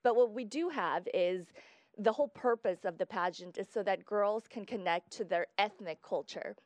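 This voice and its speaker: Young woman guest from Guatemala, mid-pitched, chatty, dry close sound. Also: normal speed, darkish tone, no echo. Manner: chatty, explains at length with fillers. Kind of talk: casual interview chat.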